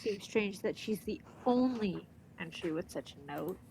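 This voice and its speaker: A woman's voice: mid-range voice, measured pace, gravelly, slight background noise, low-quality recording. A woman streaming a game with a stuffy nose from allergies, chatting casually and reading the game's lines aloud. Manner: excitable, reads game text aloud. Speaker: let's play streamer.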